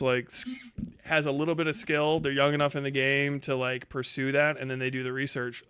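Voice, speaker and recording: A man's voice: mid-range voice, fairly bright tone, clear, resonant tone. A man hosting a casual, unscripted livestream commentary, rambling along in slangy, profanity-laced talk. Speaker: livestream host.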